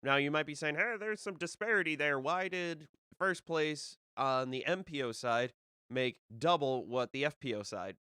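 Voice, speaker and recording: A man's voice: a little high, moderate pace, bright, forceful tone, clean tone, dry recording, clean studio sound. A young adult man hosting a disc golf show on a live stream. He sounds a little nasal from a cold and talks in a loose, rambling way, full of fillers and restarts. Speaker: disc golf podcast host. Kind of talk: casual solo live-stream talk.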